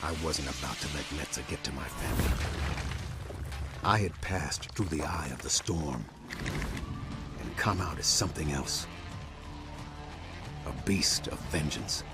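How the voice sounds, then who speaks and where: An actor playing a brooding, vengeful American gunslinger hero, narrating a game story in a grim, folksy, hard-boiled way. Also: male voice, rumbling low, unhurried, pauses often, over loud music. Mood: burning resolve. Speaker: narrator and protagonist.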